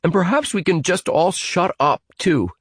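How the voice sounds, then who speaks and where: A man's voice: high voice, expressive, normal speed, husky, dry recording, studio quality, pitched above the performer's own voice. A man doing a snappish, hot-tempered young captive. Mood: angry. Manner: snappish, threatening.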